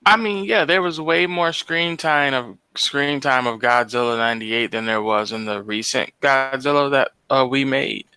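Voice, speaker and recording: American male co-host, crisp bright voice, dry close sound, casual explainer with slang and mild swearing. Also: medium pitch, moderate pace, few pauses, no echo, low-quality recording. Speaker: podcast co-host. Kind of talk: casual discussion of movies.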